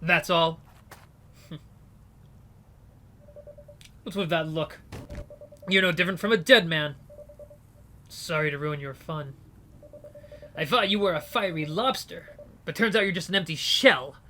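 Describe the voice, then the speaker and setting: Man doing a cold sneering gangster leader, bright and hard-edged, commanding. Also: fairly high pitch, a little slow, long pauses, slight background noise. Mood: cold mocking.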